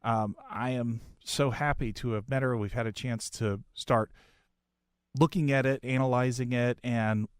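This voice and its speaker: Male radio host, mid-pitched, conversational interviewer, dry studio sound. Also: fairly even intonation, measured pace, clear, resonant tone, no echo.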